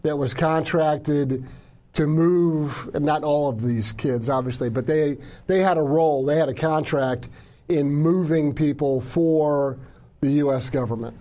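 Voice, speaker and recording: A man's voice: fairly high pitch, measured pace, dark tone. A man hosting an interview, speaking emphatically and often repeating words as he starts a sentence. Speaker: interviewer, show host.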